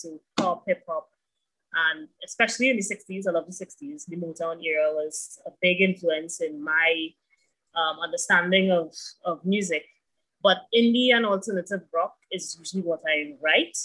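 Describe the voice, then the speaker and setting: A woman in her thirties with a bright, forceful tone. She answers as an interview guest, taking her time and listing things off at length. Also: fairly low pitch, poor audio. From Trinidad and Tobago. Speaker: guest musician. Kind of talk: casual interview chat.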